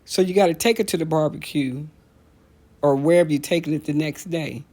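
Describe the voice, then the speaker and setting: A middle-aged American woman hosting a casual talk show, telling stories in a blunt, animated, colloquial way and repeating phrases for emphasis. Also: sits low, a little fast. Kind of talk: casual comedic rant with storytelling.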